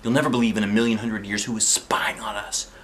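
A man reading a children's book aloud in an expressive, playful way, with chatty asides. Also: fairly low pitch, fast talker, slight background noise. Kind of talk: animated storybook read-aloud with chatty asides. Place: living room sofa, indoors. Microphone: camera a short distance away.

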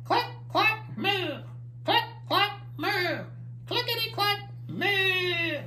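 A woman's voice: very high-pitched, expressive, extremely slow, bright, forceful tone, echoey room, slight background noise, voice pushed up, exaggerated voice. A woman voicing an eager, curious young male monster puppet who chimes in with childlike guesses on a children's story show. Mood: reading aloud. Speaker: puppet sidekick at story time.

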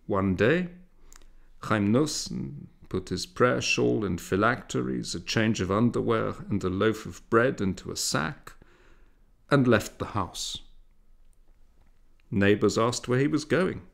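A man reading a literary story aloud as a narrator, in a measured, expressive way with dramatic emphasis. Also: on the low side, gravelly. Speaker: audiobook narrator.

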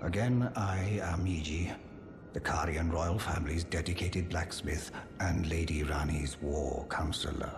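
An actor playing a gentle old giant blacksmith, courteous and self-deprecating, in a video game. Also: male voice, low-pitched, unhurried. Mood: courteous, earnest. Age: older. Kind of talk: scripted video game character dialogue. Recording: loud music behind the voice. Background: music.

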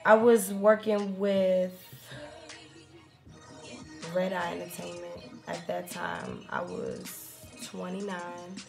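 A woman in her thirties with a raspy alto voice, chatting casually in an interview and rambling through stories with many fillers. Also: lowish voice, big pitch swings, slow pace, pauses often, soft background music. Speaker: rapper and singer interviewed. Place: indoor room, seated on a leather couch.

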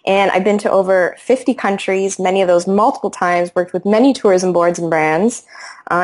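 A woman speaking conversationally as a podcast guest, introducing herself in an interview. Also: mid-range voice. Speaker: podcast guest, travel video maker.

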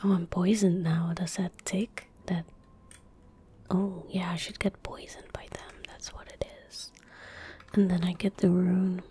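A young woman softly whispering ASMR game commentary in a breathy, gentle, hushed voice, close to the microphone. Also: on the low side, little pitch movement, unhurried, slight background noise. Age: young adult. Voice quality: whispery, breathy, soft-spoken. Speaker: ASMR gaming streamer. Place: indoor room at a desk. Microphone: close desk microphone. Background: keyboard typing and mouse clicks.

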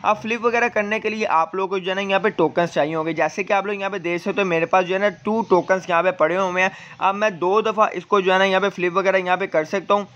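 A man's voice: sits high, steady tone, fast talker, few pauses, fairly bright tone. A man presenting a casual gaming walkthrough, explaining step by step in a repetitive way with frequent verbal tags. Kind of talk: casual game event walkthrough. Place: voice-over on screen-captured gameplay. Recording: dull, lo-fi voice recording.

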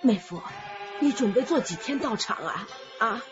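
An actress playing a furious, accusing sister of a murdered woman in a radio drama, shrill and scolding. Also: expressive, moderate pace, lo-fi sound, music in the background, natural voice. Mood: bossy.

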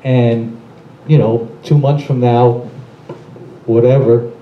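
A man's voice: medium pitch, steady tone, slow, a little hiss or hum. A man on a board, speaking in a rambling, casual way with many you-knows. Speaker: board member. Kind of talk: meeting discussion. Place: large meeting room. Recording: echoey, slightly dull room sound.

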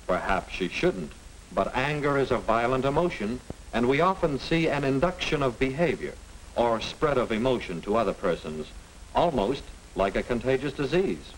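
A middle-aged American man reading scripted narration as a lecturer, didactic and deliberate. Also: mid-range voice, animated, low-quality recording. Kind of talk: scripted educational film narration. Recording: hissy 1950 film soundtrack.

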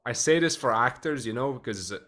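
Animated man hosting a video-call chat. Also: medium pitch, fairly quick. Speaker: podcast host. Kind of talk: casual interview chat. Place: home room, indoors.

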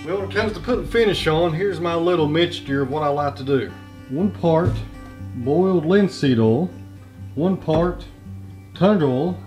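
A man's voice: a little high, lively intonation, normal speed, music in the background. A man in his thirties, a woodworker vlogging in a plainspoken, chatty way, first outdoors in the woods and then in his small shop, recorded on the camera's mic. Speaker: woodworker and channel host. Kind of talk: casual workshop vlog.

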